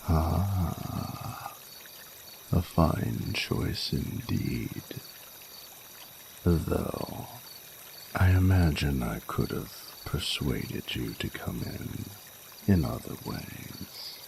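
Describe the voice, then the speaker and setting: Ancient male dragon lover, played by a man: rumbling bottom-register voice, gravelly, regal and flirtatious, formal archaic delivery over trickling water. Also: expressive, noisy background, exaggerated voice. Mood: flirtatious, inviting. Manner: formal archaic phrasing, slow deliberate pauses, seductive. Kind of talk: romantic audio roleplay, intimate monologue to the listener.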